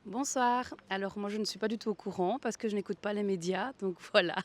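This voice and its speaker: A woman's voice: mid-range voice, fairly quick. A woman from Belgium chatting casually as an interviewee, candid and a little dismissive. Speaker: passer-by being interviewed. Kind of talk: casual chat.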